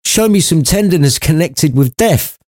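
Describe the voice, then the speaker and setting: A man's voice: on the high side, animated, normal speed, darkish tone, no room sound, pitched above the performer's own voice, close to an everyday voice. A man voicing a frightened old male miser who pleads in agony, as a storybook character. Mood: anxious, pleading. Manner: agonized, pleading.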